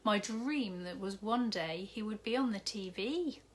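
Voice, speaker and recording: A woman's voice: mid-range voice, unhurried, slight background noise, voice pushed up, natural voice. A woman doing a timid little schoolgirl. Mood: sheepish. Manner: timid, sheepish, mumbling. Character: sad hungry young schoolgirl.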